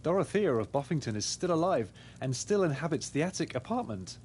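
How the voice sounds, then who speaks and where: Man playing an animated, formal detective pig. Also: a little high, slight background noise. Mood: earnest, deductive. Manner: formal, deliberate reasoning. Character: earnest pig detective, methodically presenting his deductions. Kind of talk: scripted game dialogue.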